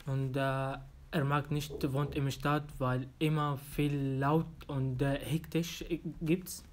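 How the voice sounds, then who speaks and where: A man in his twenties from Syria with an Arabic accent, speaking haltingly with many fillers and restarts as a candidate in a practice language exam, in an office room. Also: medium pitch, little pitch movement, a little hiss or hum. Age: 20s. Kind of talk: simulated oral language exam.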